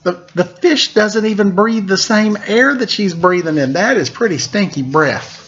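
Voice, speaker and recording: A man's voice: sits high, expressive, a bit bright, highs cut off. A man reading a picture book aloud for story time, playfully and without hurry, stopping for casual asides about the pictures. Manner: playful, unhurried, pausing to comment on pictures.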